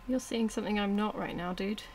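A woman commentates casually over a video game, talking half to herself and half to the screen. Her voice sits at an ordinary pitch, with clear noise and game ambience behind it. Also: fairly quick, darkish tone. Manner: informal, muttering to herself and the game. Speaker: gaming video host. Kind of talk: casual let's-play commentary.

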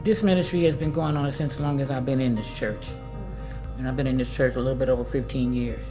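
Emphatic older American woman, music behind. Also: very deep voice. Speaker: church volunteer. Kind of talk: personal testimony interview. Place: indoor church sanctuary, seated interview.

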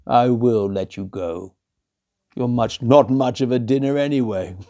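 A man voicing a huge, boastful male lion who roars and speaks slowly and grandly. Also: dark tone, lightly acted. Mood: mocking. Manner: roaring, slow and booming.

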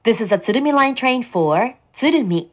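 A woman train announcer reading scripted station announcements in a calm, formal, deliberate manner. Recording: dull train PA with clear rumble. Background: train running noise.

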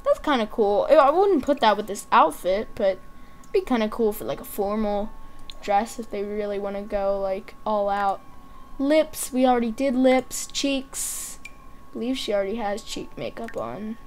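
A woman with a high voice giving casual, chatty commentary as a gaming video host, thinking aloud in short offhand remarks. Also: moderate pace, a little hiss or hum.

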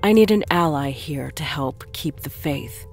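Woman playing a low-voiced, imperious church official. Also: lively intonation. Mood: grave, zealous. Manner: deliberate, solemn, imperious. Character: stern, devout female church official, imperious and measured. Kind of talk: audio drama acting. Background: faint music.

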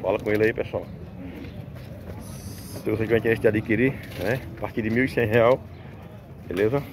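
A man's voice: fairly low pitch, fairly even intonation, a little fast. A man, a farmer vlogging a casual walkthrough at an outdoor cattle market, filling his talk with tag questions. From Northeast Brazil. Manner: chatty, fills with "né" and "pessoal". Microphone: handheld phone camera. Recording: outdoor noise of cattle and vehicles.

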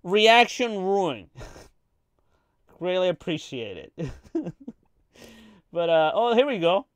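A man's voice: high-pitched, very animated, slow pace, no room sound. Giggly, rambling man streamer. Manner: giggly, rambling, crude jokes, frequent laughter. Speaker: streamer reacting to anime trailers. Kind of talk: casual live-stream reaction chat.

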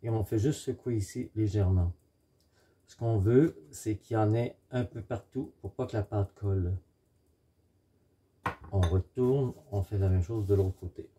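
Man, middle-aged, low pitch, very dark mellow tone, husky, halting instructional delivery, home cooking demonstration. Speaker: home baker presenting a recipe. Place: home kitchen, indoors.

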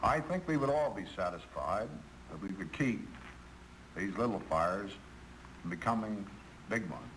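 A man's voice: fairly low pitch, expressive, a little slow, fairly bright tone. A man playing an earnest American railroad manager chairing a meeting in scripted 1950s training film dialogue. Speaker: railroad official leading a meeting. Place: conference room. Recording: noisy 1950s film soundtrack.

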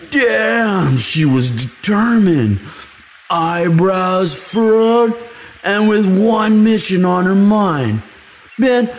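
Man acting a slow-talking, sweet himbo boyfriend. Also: high voice, a little hiss or hum, exaggerated voice. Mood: smitten, excited. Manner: slow, dude-ish drawl full of likes and sighs. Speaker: audio roleplay performer. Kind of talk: intimate boyfriend roleplay, casual chat.

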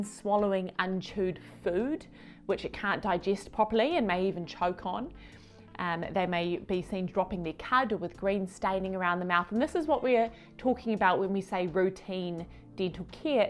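A woman in her thirties, a veterinarian, explaining to camera in a chatty way while gesturing with her hands in a home studio. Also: medium pitch.

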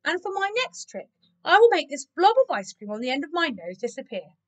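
A woman voicing a shy, flustered male cat from a children's storybook, in a squeaky, sheepish, stammering manner. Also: very animated, a little fast.

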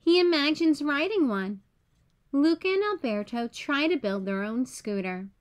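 Lady with a high voice doing a kids read-aloud, expressive storybook style. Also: unhurried. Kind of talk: children's picture book read-aloud.

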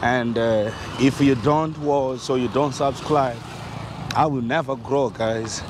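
A man's voice: mid-range voice, slow. A man, giving a casual walking-tour vlog by a busy road and talking to viewers, filmed with a camera held at arm's length. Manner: casual, repetitive, addresses viewers as guys. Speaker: vlogger. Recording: loud street noise and traffic.

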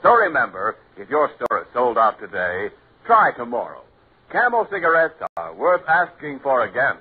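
A man's voice: medium pitch, huge pitch swings, moderate pace, husky. A man with an American accent reading advertising copy as a 1940s radio announcer. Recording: tinny 1940s radio recording.

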